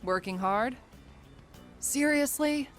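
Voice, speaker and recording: Game role: young woman teacher, grumbling, exasperated, animated pitch. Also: slow. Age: young adult. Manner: expressive, complaining. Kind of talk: casual video game dialogue. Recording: music under the voice.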